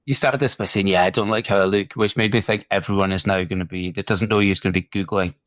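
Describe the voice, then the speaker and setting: A man's voice: sits low, fairly even intonation, quick pace, fairly bright tone, dry recording. A middle-aged man hosting a podcast interview, chatty and encouraging, with plenty of fillers. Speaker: podcast host. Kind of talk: casual interview chat.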